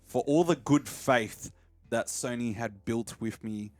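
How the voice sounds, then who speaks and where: A man's voice: mid-range voice, slow pace, no echo, faint music behind. A man hosting a podcast, discussing films in a casual, opinionated way. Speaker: podcast host.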